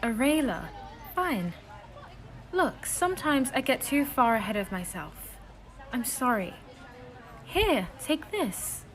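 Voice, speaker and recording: A woman's voice: high-pitched, sing-song, slow, long pauses, fairly bright tone, some chatter behind, slight background noise, heavily acted. Woman playing a knowing, insistent young schemer. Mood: pleading. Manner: probing, insistent. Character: knowing, secretly scheming young woman, guide in fairytale world. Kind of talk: audio drama acting.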